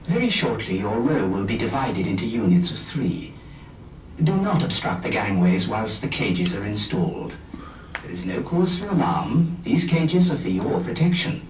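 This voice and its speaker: A man's voice: on the low side, very animated, moderate pace. A man playing a coldly reassuring official who gives sinister orders in a formal, authoritative public announcement. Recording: distant echoey announcement with faint noise.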